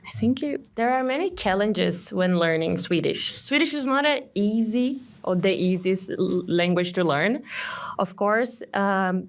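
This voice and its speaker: A woman whose first language is Portuguese, a student of Swedish chatting and telling stories on a podcast. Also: mid-range voice. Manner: chatty, laughs easily, storytelling. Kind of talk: podcast conversation.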